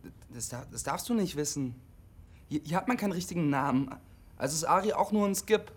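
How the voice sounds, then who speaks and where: An actor playing a lonely, guarded young CB radio operator, speaking haltingly and repeating himself in a naturalistic radio drama. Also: male voice, a little high, animated, slightly rough. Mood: defensive, agitated. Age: young adult.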